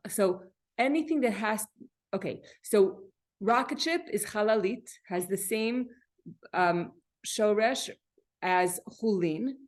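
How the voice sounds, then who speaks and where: Lady teacher doing an informal lesson, really slow pace, keeps rephrasing and asking questions. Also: mid-range voice. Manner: halting, repeats and rephrases points, poses questions to listeners. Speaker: teacher of a Torah class. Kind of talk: informal spoken lesson explaining Hebrew vocabulary.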